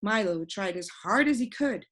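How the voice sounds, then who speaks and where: A woman's voice: medium pitch, animated, moderate pace, a bit bright. A woman narrating a children's storybook as a reader. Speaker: audiobook reader.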